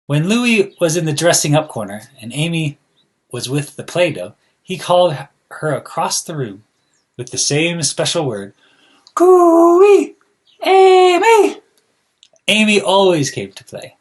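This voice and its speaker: A man reading aloud to children in an expressive, deliberate way, addressing them directly like a school counselor. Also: a little high, husky.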